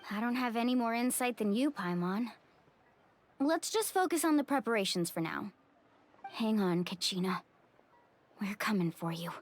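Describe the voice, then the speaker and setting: An actress voices an earnest young female adventurer for a video game. She is polite and determined, with a fairly high voice and expressive, lively intonation. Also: moderate pace, long pauses, close to an everyday voice.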